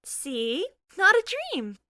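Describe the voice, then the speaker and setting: A woman's voice: sits high, slow pace, dry recording, voice pushed up, full character voice. A woman voicing a teasing, supportive, bubbly young female best friend in a narrated story. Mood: playful, teasing. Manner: playful, encouraging, animated. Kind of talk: scripted audiobook character dialogue.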